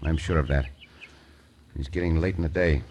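An actor playing a bold, commanding Mexican cowboy hero in an old radio western, with formal phrasing and a Mexican accent. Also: male voice, a bass voice, steady tone, moderate pace, a little mellow. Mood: grave, resolute. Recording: noisy old radio recording.

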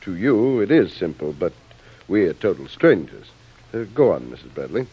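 An actor playing a suspicious island boss in a vintage radio drama, questioning with smooth courtesy and a probing edge. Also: male voice, sits low, sing-song, normal speed, heavily acted. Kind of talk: 1940s radio acting. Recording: muffled vintage radio recording.

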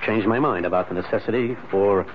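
A man's voice: fairly low pitch, brisk, close to an everyday voice. An actor playing a proud, evasive businessman in a 1970s radio drama, insistent and sometimes hesitant. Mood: curt, stubborn. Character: proud, evasive high-powered businessman, troubled and stubborn. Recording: dull, noisy old radio recording.

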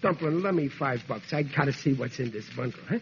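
An actor playing a stubborn, slow-witted American working-class father in a 1940s radio comedy, grumbling indignantly in rough grammar. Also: mid-range voice, expressive, close to an everyday voice. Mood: blithely stubborn. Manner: grumbling, indignant, ungrammatical working-man talk. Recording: dull vintage radio recording, hissy.

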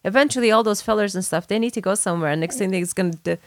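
A woman's voice: mid-range voice, no echo. A woman in her forties, originally from Afghanistan, chatting casually and emphatically in a group conversation. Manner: chatty and emphatic, quick back-and-forth. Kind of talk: casual chat.

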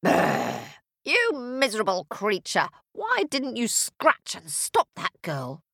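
A menacing old storybook witch, played by a woman, greedy and quick to rage. The delivery is highly animated, wheedling and growling across a wide pitch range. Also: dry recording, studio quality. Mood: furious.

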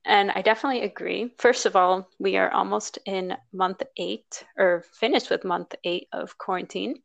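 Upbeat woman podcast host with vocal fry. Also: mid-range voice, fairly even intonation, moderate pace, dry recording, dull audio. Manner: upbeat and chatty, laughs easily. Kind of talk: casual podcast conversation.

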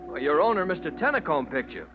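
A man's voice: fairly high pitch, sing-song, quick pace. An actor playing an earnest, polite young rookie fireman in an old radio serial. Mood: firm. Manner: polite, plain-spoken, earnest. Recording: dull, noisy old radio recording.

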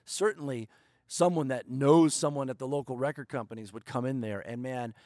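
A man's voice: medium pitch, brisk, a little mellow, dry recording. Middle-aged guy from detroit, jokey storyteller on a podcast, dry close mic. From Detroit, Michigan. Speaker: songwriter guest.

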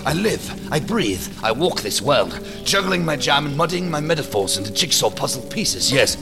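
An actor playing a theatrical mad captain who raves in florid, declamatory riddles in an audio drama. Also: male voice, medium pitch, sing-song, normal speed, vocal fry, over music. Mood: agitated, manic.

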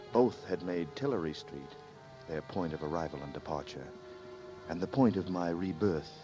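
An actor playing a tense, hunted man who narrates his ordeal in terse, grim first person, in a 1940s radio drama. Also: deep voice. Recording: dull 1940s radio broadcast with music.

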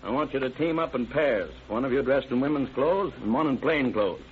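An actor playing a stern, methodical sheriff in an old radio crime drama, speaking in a measured, formal, authoritative way. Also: male voice, mid-range voice, lively intonation, natural voice. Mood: commanding. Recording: tinny, noisy old radio recording.

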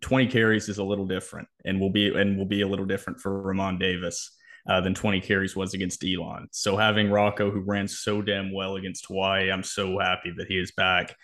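Deep voiced american dude co-hosting a sports pod, casual football breakdown. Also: male voice, little pitch movement, a little fast, a bit bright. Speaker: sports podcast co-host.

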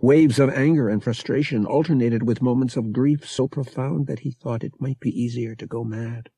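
A man narrating fiction as an audiobook reader, dramatic and expressive with measured phrasing. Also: medium pitch, breathy edge, no room sound.

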